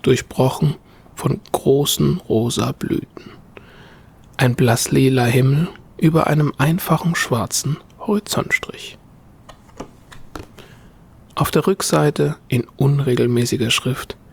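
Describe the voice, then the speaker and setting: Slow, gravelly, husky man. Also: medium pitch, a little mellow. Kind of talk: slow scripted story reading, later casual chat.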